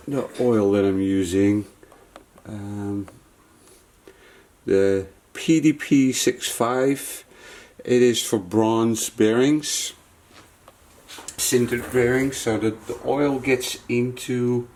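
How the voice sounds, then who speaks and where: A man's voice: mid-range voice, darkish tone, a little hiss or hum. A man giving a casual hands-on tutorial, thinking aloud to viewers as he works, in the manner of a repair video presenter. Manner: thinks aloud while working, talking to viewers.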